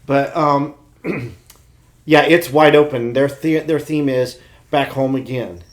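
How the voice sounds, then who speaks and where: A man's voice: medium pitch, takes it slowly. An American man chatting casually as a podcast co-host. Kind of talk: casual chat.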